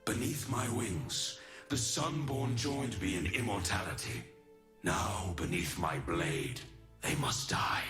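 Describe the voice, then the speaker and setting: A noble, weary immortal champion for a video game. His voice sits low and moves very deliberately, each formal phrase weighed with solemn resolve. There is a forceful edge to it, over a faint bed of music. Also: animated. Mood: somber, proud. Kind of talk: scripted dramatic game voice lines.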